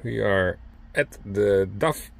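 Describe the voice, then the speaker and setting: A man with a Dutch accent, a native Dutch speaker, talking casually and a bit haltingly as he shows and explains things in a truck vlog. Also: lowish voice, creaky. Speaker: truck driver and vlogger. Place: inside a truck cab.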